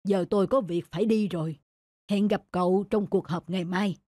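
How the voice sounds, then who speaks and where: Humble older cartoon gentleman , acted by a man, measured. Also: sits high, fairly even intonation, dry recording, studio quality. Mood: humble, composed. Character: humble, civic-minded older resort owner picking up litter. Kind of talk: animated moral-story dubbing.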